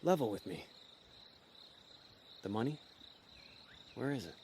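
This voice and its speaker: A man's voice: medium pitch, animated, unhurried, warm tone, a little hiss or hum. An actor playing a laid-back, cocky small-time con man in a noir audio drama, wry and slangy. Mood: low, probing.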